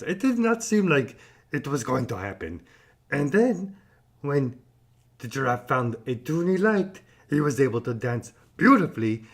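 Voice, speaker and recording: A man's voice: on the high side, creaky, slightly rough. A man hosting a children's show, chatting upbeat to young viewers.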